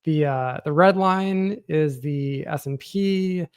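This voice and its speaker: A man's voice: a little high, slow pace, clear, resonant tone. An American man hosting a podcast, chatting casually about politics in a blunt, informal way. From Washington State, United States. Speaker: podcast host.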